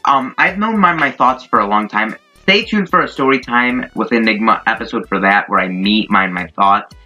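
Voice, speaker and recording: A man's voice: fairly low pitch, animated, moderate pace, sharp tone. A young man telling a casual, rambling, informal story as a YouTuber. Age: young adult. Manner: rambling, informal, mildly profane. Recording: dull lo-fi mic. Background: background music.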